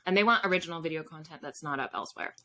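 A woman with a bright, forward voice that sits a little low explains things casually, like a guest on a podcast. Her delivery is informal and conversational. There is some noise behind her on the call. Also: very fast. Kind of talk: casual interview chat. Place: small room at home with bookshelves, video call. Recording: faint background noise.

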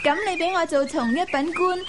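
An actress playing a playful, pampered young mistress with a childish, demanding manner in a radio drama. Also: female voice, very high-pitched, fairly quick. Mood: petulant. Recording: faint noise in old radio broadcast.

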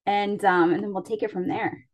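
A woman's voice: medium pitch, steady tone, normal speed. A woman hosting a podcast interview, talking casually with lots of fillers and joking about herself. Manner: chatty, self-deprecating, many fillers. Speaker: podcast host.

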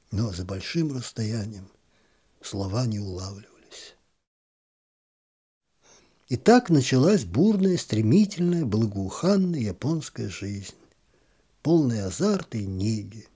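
A man reading a literary story aloud in an expressive, gently ironic storytelling manner. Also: mid-range voice, breathy edge.

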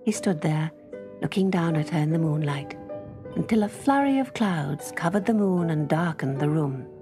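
Female storybook narrator: animated, measured, slightly low pitch, music behind. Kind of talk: scripted children's story narration.

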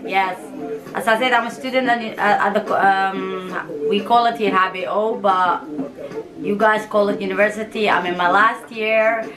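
A woman's voice: medium pitch, moderate pace, a crowd in the background. A woman in her thirties from Yemen and Somalia, chatting frankly and animatedly to camera as a vlogger from a small room at home. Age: 30s. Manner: frank, animated, gestures with hands. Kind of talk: casual direct-to-camera chat. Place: small home room, seated in a hanging chair. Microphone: camera in front, mid distance. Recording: music clearly under the voice. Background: music playing in the background.

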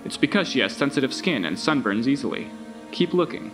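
A man playing a recorded tour guide in an audio drama, with measured, presentational narration. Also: medium pitch, big pitch swings, lightly acted. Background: music.

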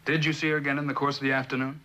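An actor playing a probing defense lawyer, asking measured, formal questions in a television courtroom drama. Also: male voice, medium pitch, little pitch movement, pushed and bright, close to an everyday voice, rough recording.

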